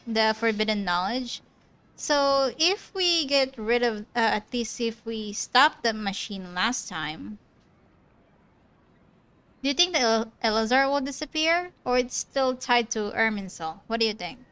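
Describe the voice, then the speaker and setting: A woman's voice: fairly high pitch, lively intonation, a little slow, fairly bright tone, clean tone. A woman streamer giving casual commentary while playing a story game, chatty and thinking aloud about the plot. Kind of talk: casual commentary over gameplay.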